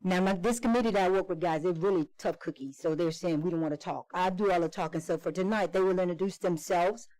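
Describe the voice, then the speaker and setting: A woman's voice: fairly low pitch, fast, hardly any pauses, a little mellow, no echo, poor audio. A woman speaking for a committee in an informal public presentation, repeating and rephrasing for emphasis. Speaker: committee spokesperson. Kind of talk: informal public introduction speech.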